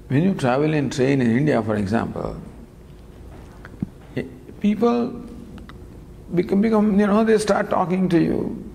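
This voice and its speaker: A man giving a spiritual discourse on scripture, teaching in a lively, gently humorous way with many rhetorical questions, recorded in a lecture hall. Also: fairly high pitch, measured pace, creaky, pauses often. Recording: long hall reverb, faint background noise.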